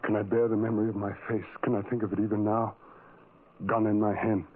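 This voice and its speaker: A man's voice: fairly low pitch, level tone, fast talker, phone-quality sound, a little hiss or hum, close to an everyday voice. An actor playing a tormented, self-loathing ugly man who narrates his life in anguished, brooding, repetitive phrases, in a 1970s radio drama style. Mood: horrified, brooding. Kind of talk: 1970s radio drama acting, first-person monologue. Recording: thin vintage radio sound, music underneath.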